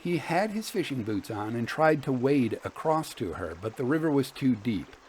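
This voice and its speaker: Male storyteller for Victorian ghost tales, measured and deliberate, with lively rises and falls in pitch. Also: a little hiss or hum. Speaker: host and reader of a ghost story podcast.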